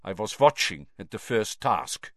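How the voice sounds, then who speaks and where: A man voicing a reserved young male athlete who speaks in a measured, slightly formal way. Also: on the low side, animated, no room sound, clean studio sound.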